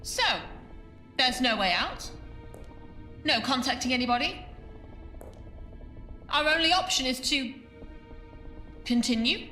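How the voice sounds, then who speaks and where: A woman plays a bold female ghost investigator in an audio drama, high-voiced and very animated. She is emphatic and easily exasperated, heard in an echoey room away from the mic. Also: takes it slowly, pauses often, full character voice. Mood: wary. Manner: emphatic, outspoken. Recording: echoey room, distant mic, faint music.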